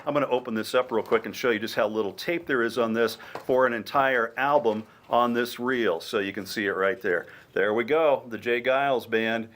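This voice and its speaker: A man's voice: a little high, fairly bright tone. A man hosting an informal show-and-tell, explaining things in a conversational, explanatory way. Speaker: radio station host.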